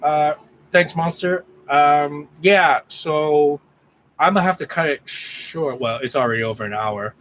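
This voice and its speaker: A man's voice: on the high side, measured pace, fairly bright tone, a little hiss or hum. Rambling man chatting on a livestream. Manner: rambling, restarts, reads out viewer names. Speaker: channel host. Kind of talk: casual livestream chat.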